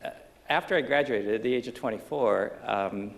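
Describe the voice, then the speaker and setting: A middle-aged man from Taiwan, a business professor on a panel stage, telling his life story in a halting way with many restarts and fillers. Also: medium pitch, gravelly. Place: lecture stage under bright lights before an audience.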